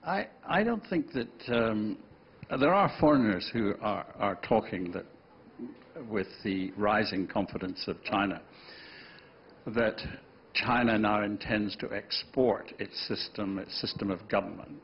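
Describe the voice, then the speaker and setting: Male guest, lowish voice, emphatic; dull audio, faint noise. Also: a little slow. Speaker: panel guest. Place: TV studio.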